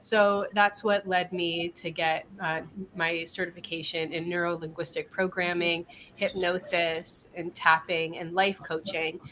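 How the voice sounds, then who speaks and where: A woman talking casually as a guest, her voice a little scratchy from a passing cold. She rambles warmly with fillers and restarts. A faint hiss sits under the recording. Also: lowish voice, flat delivery, a little slow, hardly any pauses. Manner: chatty, laughing, many fillers and restarts. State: getting over a cold, slightly scratchy. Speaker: guest, business coach. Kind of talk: casual live-stream interview.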